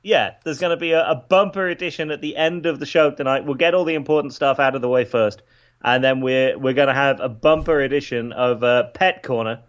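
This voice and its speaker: A man's voice: medium pitch, brisk. A man giving a casual, wry on-air update as a radio reporter calling in from home over a remote link. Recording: muffled remote call audio.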